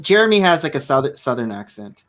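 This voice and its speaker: A man's voice: mid-range voice, sing-song, quick pace, fairly bright tone. A man from Toronto with a Canadian accent, chatting casually as co-host, curious and full of questions. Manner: curious, asks many questions, giggly. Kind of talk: casual chat.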